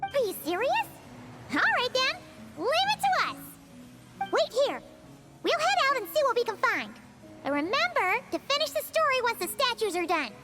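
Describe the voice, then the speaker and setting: A woman voicing a tiny, childlike floating companion in a video game, chirpy, curious and excitable. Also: very high voice, normal speed, long pauses, over loud music. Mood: resolute. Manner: chirpy, expressive, exclaiming.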